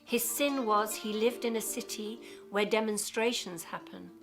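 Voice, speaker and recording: A woman narrating a scripted radio play in a measured, serious way. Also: medium pitch, vocal fry. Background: music.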